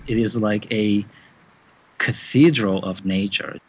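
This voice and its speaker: Brief: scientist, very low husky voice, measured interview answers over soft music. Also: male voice, low-quality recording. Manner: thoughtful, measured, pauses with fillers. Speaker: bat biologist.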